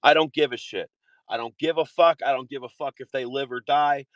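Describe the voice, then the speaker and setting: A man's voice: medium pitch, fairly even intonation, brisk, fairly bright tone, no room sound. A man delivering an impassioned monologue in a vehement, repetitive way, often swearing. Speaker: activist.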